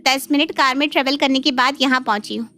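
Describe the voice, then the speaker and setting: Woman acting a high, pouty, entitled daughter. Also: quick pace, bright, forceful tone. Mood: offended, whiny. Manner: whiny, complaining. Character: pouty, entitled married daughter visiting her parents' home. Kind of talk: Hindi cartoon family drama acting. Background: faint background music.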